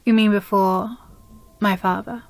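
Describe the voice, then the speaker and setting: Woman playing slow, breathy possessive demon girlfriend. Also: mid-range voice, steady tone, dry recording, natural voice. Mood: condescending, cold. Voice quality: breathy, often dropping into whisper. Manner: slow, deliberate, sighing, condescendingly sweet. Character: possessive, jealous demon girlfriend, weary and menacing. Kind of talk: intimate audio roleplay addressed to the listener.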